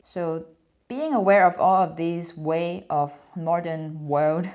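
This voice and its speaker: A woman's voice: fairly low pitch, a little mellow. A woman in her thirties from Hong Kong, with a Hong Kong accent and Cantonese as her first language, earnestly explaining career advice to the camera in a small room. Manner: earnest, slightly non-native phrasing, clear explanatory tone. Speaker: career advice video host. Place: small indoor room, plain background. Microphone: camera framed mid-shot. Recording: slightly dull sound with faint music.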